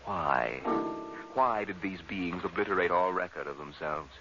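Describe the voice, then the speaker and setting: An actor playing a grave, portentous narrator of a vintage science fiction radio drama, measured and solemn. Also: male voice, deep voice. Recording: muffled vintage radio recording. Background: music.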